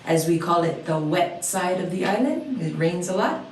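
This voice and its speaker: Friendly woman guest from Hawaii; somewhat low voice, recorded at a distance in an echoey room. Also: sing-song, normal speed, slight background noise. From Oahu, Hawaii. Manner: friendly, easygoing, with frequent fillers. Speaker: dance instructor, guest. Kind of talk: casual interview answers.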